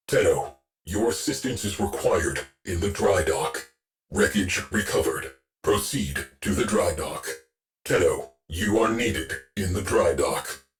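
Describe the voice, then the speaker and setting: A man playing a stern, weary veteran military ship AI in a video game, giving terse, solemn mission briefings. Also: low-pitched. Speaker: mission control and ship intelligence.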